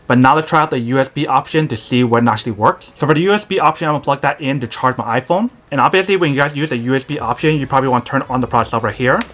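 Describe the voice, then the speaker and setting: A man's voice: mid-range voice, fairly bright tone. A man narrating a casual product demo, talking to viewers and repeating the same filler phrases a lot. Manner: rapid, repetitive filler phrasing, addresses viewers as "you guys". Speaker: product reviewer.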